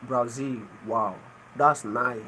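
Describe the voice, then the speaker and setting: A man's voice: medium pitch, fairly even intonation, takes it slowly, bright, forceful tone, low-quality recording. A Nigerian man with an Igbo first language talks casually to his viewers on a livestream. He repeats each phrase and keeps asking people to explain what they mean. A faint air-conditioner hum sits behind him. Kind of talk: casual live chat.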